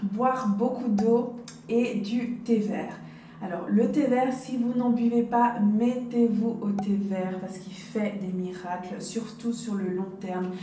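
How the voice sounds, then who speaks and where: A woman's voice: medium pitch, steady tone, takes it slowly, warm tone. A woman in her late twenties chatting casually to camera as a beauty vlogger, giving personal advice and addressing her viewers directly. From Dominican Republic. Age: late 20s. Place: indoors, hotel. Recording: roomy, distant mic, faint background noise.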